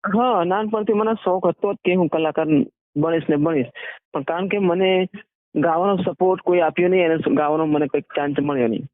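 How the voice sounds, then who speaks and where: Man, high-pitched voice, casual interviewee, narrowband phone line, dry sound. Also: brisk, no echo. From Gujarat, India. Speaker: singer and band owner being interviewed. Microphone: phone call.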